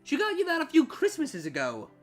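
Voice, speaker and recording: A woman's voice: sits high, wildly swooping pitch, brisk, soft background music. A woman voicing a haughty, irritable, sarcastic siren in a storybook reading, with a biting, exasperated manner. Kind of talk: storybook fan fiction reading.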